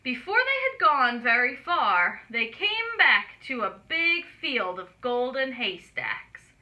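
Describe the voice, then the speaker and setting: Bright, animated woman reading to children. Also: sits high, slow, rough recording. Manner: expressive, explaining simply to small children. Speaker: storyteller. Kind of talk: children's read-aloud narration with asides.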